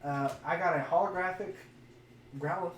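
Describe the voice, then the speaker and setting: Male podcast co-host, casual and jokey, lightly higher pitch, echoey room with faint noise. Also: moderate pace. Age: adult, parent of a young son. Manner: jokey, crude, plays with words. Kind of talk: casual chat while opening trading card packs. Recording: roomy echo, off-mic, faint noise.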